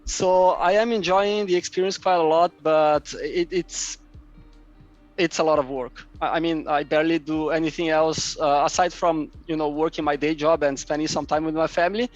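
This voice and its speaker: A man's voice: a little high, fairly even intonation, normal speed, highs cut off, faint music behind. A man in his thirties from Brazil with a Brazilian accent and Portuguese as his first language, a guest in a casual chat who often repeats words as he searches for them. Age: 30s. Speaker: guest, map publisher.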